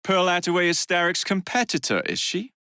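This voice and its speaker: Young English gang leader, roguish, wry, expressive pitch swings, close dry sound, video game hero. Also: male voice, brisk, clear, resonant tone, dry recording, exaggerated voice. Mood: brisk, cocky. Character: cocky young male Victorian London gang leader.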